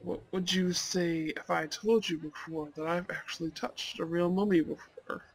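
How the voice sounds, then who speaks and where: A man playing a shy, polite, slightly dorky young goth on a blind date, in a romantic ASMR roleplay, with a husky, creaky voice. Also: high-pitched, steady tone, moderate pace. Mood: friendly, enthusiastic. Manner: hesitant, soft-hearted, nervous little laughs.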